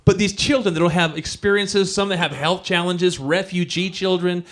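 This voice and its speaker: Upbeat male host with a high voice, effusive and warm with praise. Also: fairly even intonation, moderate pace. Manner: enthusiastic, effusive. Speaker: co-host of a charity gala. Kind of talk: upbeat show hosting. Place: studio.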